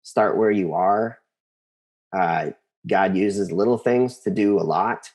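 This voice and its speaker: A man's voice: low voice, slow, a little mellow. An American man in his forties speaking casually as an interview guest, thinking aloud and repeating phrases for emphasis.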